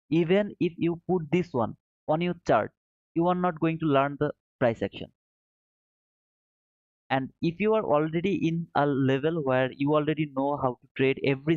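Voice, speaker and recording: Earnest man from Bangladesh, slightly high voice. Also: darkish tone, dry recording. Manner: earnest, repetitive, addresses chat as brother. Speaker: trading livestream host. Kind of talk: casual live-stream commentary. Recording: dull muffled dry sound.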